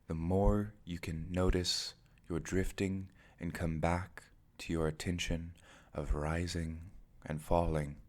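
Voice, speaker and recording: Male meditation guide, very low-pitched, unhurried and slow, deliberate phrasing with pauses. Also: darkish tone. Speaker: meditation teacher.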